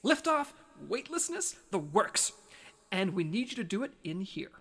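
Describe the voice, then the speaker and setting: A woman playing an earnest, excitable spy agent leading a team in a comedy audio drama. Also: medium pitch, moderate pace, slightly rough, full character voice. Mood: brisk, proud.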